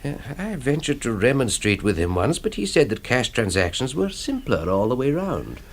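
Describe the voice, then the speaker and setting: An actor playing a genial, fussy older bank manager in a radio drama, hesitant and formally precise. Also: male voice, medium pitch, very animated, moderate pace. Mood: careful, discreet. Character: fussy, genial elderly Scottish bank manager, discreetly thrilled.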